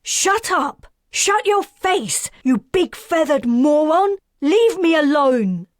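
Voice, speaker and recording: A woman's voice: fairly high pitch, a little slow, no echo, studio quality, voice pushed up. Woman voicing a furious young starship bird keeper. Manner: shouting, raging.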